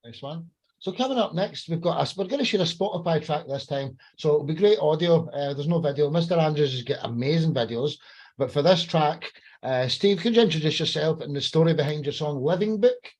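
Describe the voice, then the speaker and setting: Guy hosting a podcast, bit high pitched, slangy, upbeat, super effusive. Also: a little fast, darkish tone. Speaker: podcast host.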